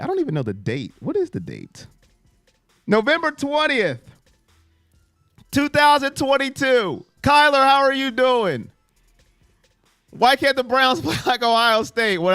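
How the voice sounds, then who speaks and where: A man hosting a live sports stream, chatting and teasing his viewers, his voice hoarse from yelling. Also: extremely high pitch, dry recording, clean studio sound. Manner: animated, teasing chat with viewers. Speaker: sports livestream host. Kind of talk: live fan pregame chat.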